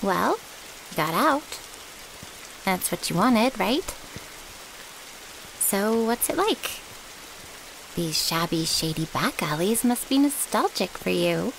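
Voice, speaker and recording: A woman's voice: medium pitch, big pitch swings, slow pace, creaky, pauses often, a bit bright, exaggerated voice, rough recording. A woman playing a cheeky, loyal vampire's servant in an intimate ASMR audio roleplay, with a casual, teasing way of talking. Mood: teasing, smug. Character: cheeky, flirty, loyal female thrall of a vampire. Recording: hissy, rain-like background noise.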